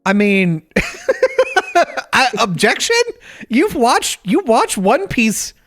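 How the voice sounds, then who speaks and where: A man's voice: extremely high pitch, sing-song, takes it slowly, fairly bright tone, dry recording, clean studio sound, faint music behind. A man co-hosting a podcast in a laid-back, joking way. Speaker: podcast co-host. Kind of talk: casual chat.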